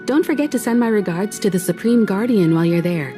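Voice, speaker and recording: A lively-inflected, mid-pitched voice acted by a woman with soft music behind. She is a composed, encouraging mentor, gracious in every phrase, as in game dialogue. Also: quick pace, natural voice. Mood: kindly, encouraging. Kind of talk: video game dialogue acting.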